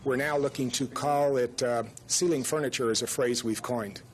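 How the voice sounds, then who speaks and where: A man's voice: mid-range voice, steady tone, normal speed. A man speaking as a company spokesman in an archival television interview. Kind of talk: news interview answer. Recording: archival TV interview, faint noise.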